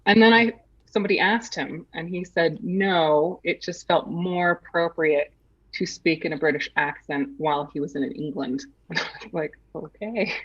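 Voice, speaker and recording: A woman author being interviewed over a video call, telling stories in a relaxed way with hesitations. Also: fairly low pitch, moderate pace, slightly rough, muffled recording. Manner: relaxed anecdotal storytelling with hesitations. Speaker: author guest. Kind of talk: interview storytelling.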